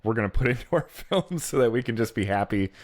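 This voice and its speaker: A man's voice: medium pitch, expressive, quick pace, no room sound, clean studio sound. A man chatting casually about films as a podcast co-host, in a rambling way full of filler phrases. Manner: rambling, peppered with "like" and "you know".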